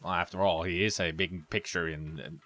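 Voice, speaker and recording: A man's voice: deep voice, steady tone, moderate pace, sharp tone, no room sound. A man giving casual, rambling commentary over gameplay, with sarcastic asides. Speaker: let's play commentator.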